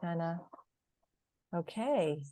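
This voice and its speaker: A woman leads an online artist meeting over a video call, speaking slowly in a lowish voice. She steers the discussion with short, encouraging prompts. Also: animated, warm tone, no room sound. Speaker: host leading an artist group meeting. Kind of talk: casual group meeting talk.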